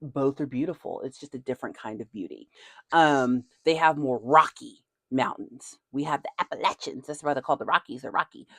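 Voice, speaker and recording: A speaker from Nashville, Tennessee, with a softened Southern accent, chatting casually and animatedly and laughing often. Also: medium pitch, brisk, no room sound. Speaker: video creator. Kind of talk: casual chat.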